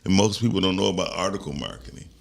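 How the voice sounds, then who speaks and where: Deep gravelly man explaining. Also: very fast. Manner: explaining, slangy, relaxed. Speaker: podcast co-host. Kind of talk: casual chat.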